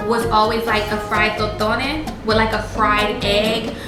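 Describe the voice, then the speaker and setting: A young woman chatting casually with her audience while cooking in a home kitchen, animated and slangy. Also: mid-range voice, measured pace. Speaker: vlogger. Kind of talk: casual chat. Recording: roomy kitchen with music.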